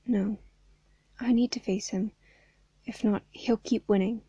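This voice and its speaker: A woman's voice: medium pitch, fairly even intonation, measured pace, mellow, no echo, highs cut off. Virgil: a woman reading as a nervous teenage boy. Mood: anxious. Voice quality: hoarse. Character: anxious teenage boy facing a court trial.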